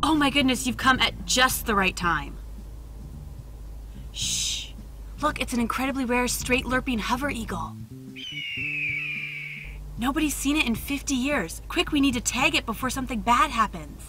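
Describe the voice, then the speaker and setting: A woman's voice: high-pitched, animated, moderate pace, long pauses, over music. A woman playing an earnest, overdramatic park ranger who loves birds, in a comedic game cutscene. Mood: urgent, hushed.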